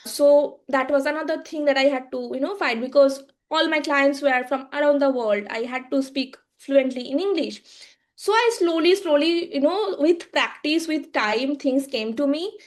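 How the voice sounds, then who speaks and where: A woman, a guest on a podcast interview, telling her story reflectively with frequent fillers and halting restarts. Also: high-pitched. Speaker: guest, social media agency founder.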